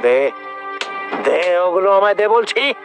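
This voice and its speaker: A man's voice: sits high, expressive, takes it slowly, over music, heavily acted. A man playing a greedy, violent husband in a melodramatic audio drama, sneering and taunting as he repeats himself. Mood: menacing.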